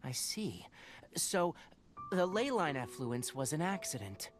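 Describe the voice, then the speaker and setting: An actor playing a calm, analytical young male scholar, explaining things thoughtfully in video game dialogue. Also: a little high, unhurried, slight background noise. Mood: thoughtful, sober. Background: music.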